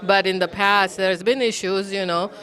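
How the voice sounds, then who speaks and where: A woman's voice: medium pitch, steady tone, normal speed, a bit bright, low-quality recording. A woman hosting interviews at an event, chatty and finishing her guests' sentences. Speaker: host. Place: consulate reception hall.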